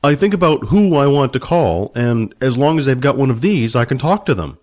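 A man's voice: medium pitch, lively intonation, fairly quick, a little mellow, natural voice. A man reading a storybook aloud, voicing an earnest, hungry young barbarian man who talks plainly and straightforwardly.

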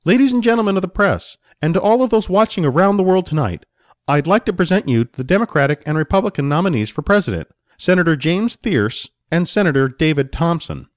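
A man voicing a male mediator who announces loudly and formally. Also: a little high, expressive, fairly quick, no room sound, clean studio sound, pitched above the performer's own voice, natural voice. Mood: grand.

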